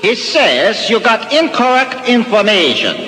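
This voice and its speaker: A man playing an eccentric inventor doctor, deadpan, in a 1960s radio comedy sketch. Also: high voice, a little slow, loud music behind the voice. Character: eccentric male inventor doctor with a talking computer. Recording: roomy, reverberant old radio recording with hiss.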